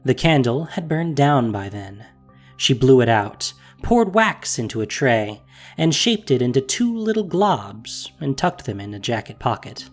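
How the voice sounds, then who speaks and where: A man narrating a fantasy audio drama with expressive storytelling. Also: medium pitch, unhurried, faint music behind.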